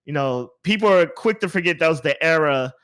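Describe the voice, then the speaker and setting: A man hosting a casual gaming podcast, talking in an animated, rambling way and repeating phrases for emphasis. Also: a little high, a little fast, clean tone. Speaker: podcast host.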